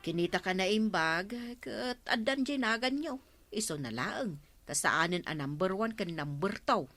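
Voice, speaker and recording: A woman's voice: mid-range voice, lively intonation, normal speed, a bit bright, no room sound, soft background music, close to an everyday voice. A female actor with an Ilocano accent playing a practical, placating village neighbour in a radio comedy drama. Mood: apologetic.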